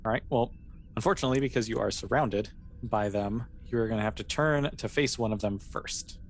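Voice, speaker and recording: A game master narrates a tabletop session in a casual, conversational manner. The delivery is mid-pitched and a little hesitant, with a reassuring way of explaining things. There is clear noise in the background. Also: brisk.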